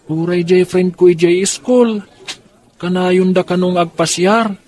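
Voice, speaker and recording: Radio drama role: scheming, eager young son played by a man, high voice, Filipino accent. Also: fairly even intonation, slow, a little mellow. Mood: cheerful, curious.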